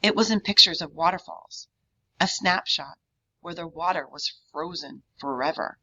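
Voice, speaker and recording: A woman reading a children's novel aloud in an expressive storybook narration style, on a dull, lo-fi recording. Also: lowish voice. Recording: dull, lo-fi sound.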